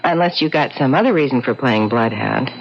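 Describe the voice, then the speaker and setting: Brash streetwise woman, lively swooping delivery. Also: very deep voice, fairly quick, full character voice. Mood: flirty, amused. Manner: slangy, teasing, impatient. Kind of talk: old-time radio drama acting. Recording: muffled, noisy old radio broadcast.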